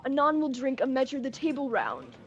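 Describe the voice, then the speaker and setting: Young woman playing high-voiced, brooding Shakespearean king. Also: very animated, brisk, highs cut off. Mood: hearty, regal. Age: young adult. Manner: theatrical, hushed to declamatory delivery. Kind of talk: Shakespearean verse drama acting.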